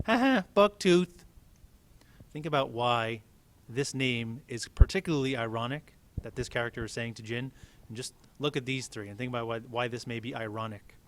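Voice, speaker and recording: A man's voice: medium pitch, steady tone, a little fast, fairly bright tone, slight background noise. Man reading a story aloud. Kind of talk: read-aloud storytelling with casual asides.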